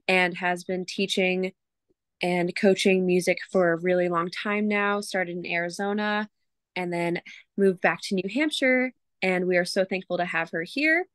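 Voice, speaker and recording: A woman's voice: mid-range voice, a bit bright, no echo. Woman hosting, close dry sound. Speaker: session host introducing the presenter. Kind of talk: informal introduction. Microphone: video call.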